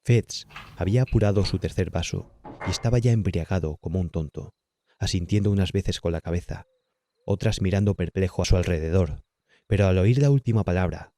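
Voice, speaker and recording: A man's voice: deep voice, normal speed, warm tone, no echo, studio quality. A man with a Castilian Spanish accent narrating a horror audiobook in a scripted, dramatic storytelling style.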